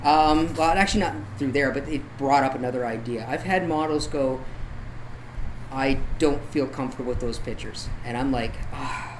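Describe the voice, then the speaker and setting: A man's voice: mid-range voice, normal speed, rough recording. Man chatting over noticeable background noise. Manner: informal, conversational, peppered with tag questions like "right?". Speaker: guest, photographer and artist. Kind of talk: casual chat, telling anecdotes. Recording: clear background noise, room ambience.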